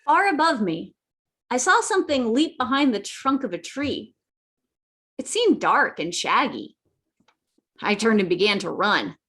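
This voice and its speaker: Woman acting plucky young boy, high-ish lively voice, earnest storytelling, radio adventure drama. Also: heavily acted. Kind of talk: radio play narration and acting. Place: indoors, remote online reading.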